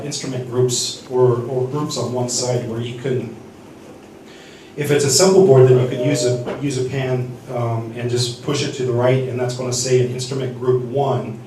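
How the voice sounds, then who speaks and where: A man's voice: medium pitch, steady tone, a little mellow, a little hiss or hum. Guy explaining a mixing board, lots of ums and restarts, big echoey church hall, mic kinda far off. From United States. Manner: halting, many restarts and fillers. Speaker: seminar speaker. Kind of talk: teaching sound-desk basics.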